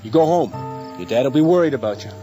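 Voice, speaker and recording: A man's voice: medium pitch, animated, fast, close to an everyday voice. A middle-aged man playing an anxious, brooding father in a radio drama, speaking hesitantly. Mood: agitated. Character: anxious, troubled father of a small boy. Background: music.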